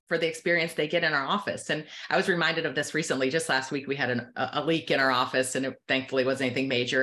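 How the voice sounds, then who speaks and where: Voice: medium pitch, sharp tone. An optometrist who owns a practice, a guest on an interview podcast, talking in a loose, rambling way with many restarts.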